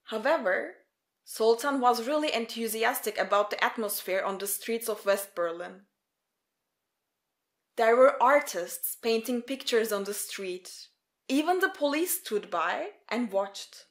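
Female narrator, slightly high voice, scripted documentary storytelling. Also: lively intonation, unhurried.

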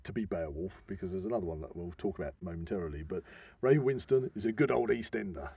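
A man's voice: low-pitched, quick pace, vocal fry, dark tone, husky, dry recording. A man with a British accent chatting casually and jovially as a podcast co-host. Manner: jovial, chuckles often. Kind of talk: casual chat about films.

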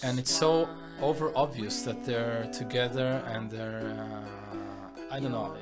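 A man in his forties speaks at an average pitch, thoughtful and halting, often restarting his phrases. He talks from a home room into a studio mic over a video call. Faint music plays underneath. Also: fairly even intonation. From Argentina, grew up in Israel. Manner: reflective, halting, many restarts. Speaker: composer and musician, guest.

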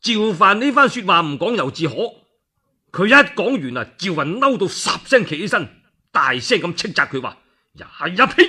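A male Cantonese storyteller narrates in an expressive, colloquial radio style. His voice is fairly high and bright, with a husky rasp. The delivery is theatrical. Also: moderate pace. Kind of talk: animated dramatic radio storytelling.

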